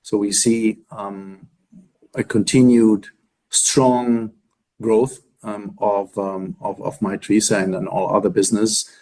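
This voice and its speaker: A man's voice: lowish voice, little pitch movement, slow pace, darkish tone, poor audio. A man speaking as a company executive on an earnings call, answering in broken phrases with many repeated words.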